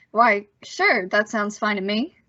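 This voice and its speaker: Woman playing a bright-voiced, stammering teenage boy. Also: mid-range voice, animated, slow, no echo, highs cut off. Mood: nervous, hesitant. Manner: stammering, hesitant, boyish. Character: bashful, evasive returned teenage boy, gushing gee-whiz politeness. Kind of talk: old-time radio drama acting.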